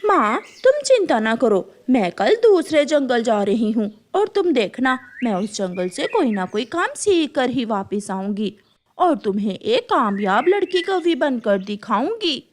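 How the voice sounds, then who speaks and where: A woman's voice: a little high, very animated, measured pace. A woman voicing a lazy young female crow who pleads earnestly in a cartoon. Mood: resolute.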